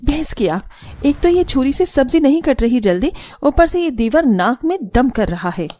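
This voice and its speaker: A woman's voice: sits high, sing-song, moderate pace, warm tone, voice pushed up. A woman voicing an irritable, overworked young daughter-in-law in a cartoon family drama, grumbling sarcastically in exasperation. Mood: irritated. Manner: exasperated muttering complaints.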